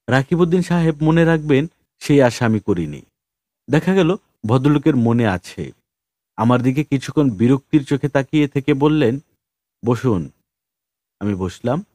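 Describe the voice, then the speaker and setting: Expressive male audiobook narrator. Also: medium pitch, moderate pace, pauses often, no room sound, clean studio sound. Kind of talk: dramatic story narration.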